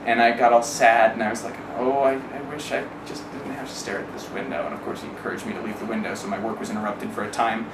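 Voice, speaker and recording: A man's voice: fairly low pitch, little pitch movement, fast talker, hardly any pauses. A man giving a candid first-person account in a wry way, casually swearing and acting out remembered exchanges. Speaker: interviewee telling a personal story. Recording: roomy, distant mic, clear background noise.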